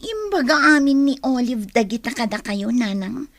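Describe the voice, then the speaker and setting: A woman's voice: on the high side, sing-song, moderate pace, no echo. An actress playing an angry, defiant young female student in a radio drama, indignant and confrontational. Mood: dismissive. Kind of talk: Ilocano radio drama acting.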